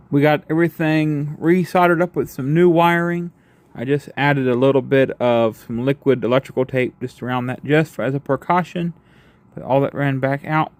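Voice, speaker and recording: A man's voice: fairly high pitch, a little mellow, dry recording. A man with a folksy, informal manner hosting a casual how-to restoration video. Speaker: host of restoration vlog.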